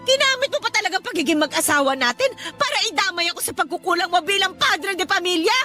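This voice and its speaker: A woman's voice: very high voice, lively intonation, quick pace, pushed and bright, exaggerated voice. A woman acting an embittered, nagging mother of a poor household in a radio drama, scolding and accusing. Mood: resentful, angry. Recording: music behind the voice. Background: music.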